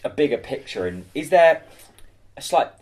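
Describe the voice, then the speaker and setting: A man's voice: on the high side, sing-song, moderate pace, darkish tone. A man co-hosting a football podcast, asking questions and joking in a dry way. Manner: dry, jokey, asks questions. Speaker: podcast co-host. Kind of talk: casual football podcast chat.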